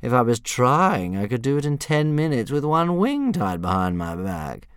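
A vain female dragon, bullying and smug, drawling with fake modesty, as a man puts her on. The pitch still moves a lot, and the recording is dry. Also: very animated, moderate pace, fairly bright tone, smooth, dry recording.

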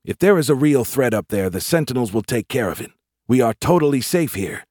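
A man's voice: medium pitch, big pitch swings, brisk, a little mellow, no echo, studio quality, voice pushed up. A man voicing a cocky, argumentative young army private who grumbles, in an audiobook. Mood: complaining, dismissive. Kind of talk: audiobook character voice.

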